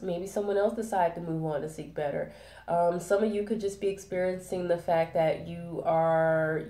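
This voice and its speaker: A woman's voice: fairly low pitch, dark tone, reverberant. A woman with a head cold, sounding nasal, giving a chatty tarot reading full of fillers and check-ins. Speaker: tarot reader. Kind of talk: casual spoken tarot reading.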